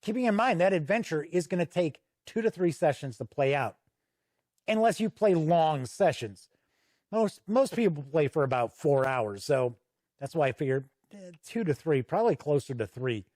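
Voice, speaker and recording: A man's voice: fairly high pitch, lively intonation, moderate pace, darkish tone, no echo, clean studio sound. A man hosting a casual, unscripted video review, speaking conversationally and leaning on key words for emphasis. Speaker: tabletop game reviewer and channel host.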